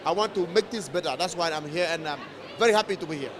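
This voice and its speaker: A man's voice: on the high side, quick pace, a loud crowd, heavy background noise. A young man who leads a youth organization, talking earnestly and enthusiastically in a vox pop interview.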